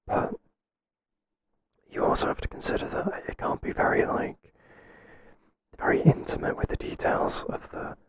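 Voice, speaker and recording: A man playing a mild, kindly workplace boss in a whispered ASMR roleplay, soft-spoken and halting with a breathy voice. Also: close to an everyday voice. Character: mild, hesitant, kindly male workplace boss, flustered by flattery.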